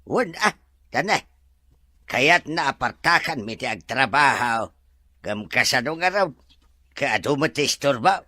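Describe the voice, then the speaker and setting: A man's voice: medium pitch, measured pace, pauses often, no room sound. A man playing an older, talkative resort worker in an Ilocano Filipino audio drama, obliging and teasing, full of interjections. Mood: chatty. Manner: animated, full of interjections and chuckles.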